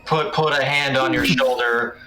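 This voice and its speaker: A man's voice: mid-range voice, steady tone, normal speed, pushed and bright, reverberant, slight background noise, rough recording. A man running a tabletop game as game master, improvising narration in a conversational, searching way.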